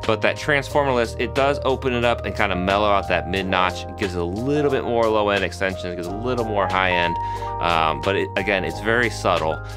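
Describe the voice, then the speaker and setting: A man in his thirties with a low, nasal voice talks easily to camera, dotting his sentences with fillers. He sits close to a studio mic in a small home room. A clear music bed plays under him. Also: fairly quick. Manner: relaxed, chatty, with frequent fillers. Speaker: video host testing microphones. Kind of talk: casual gear review to camera. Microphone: studio microphones close in front.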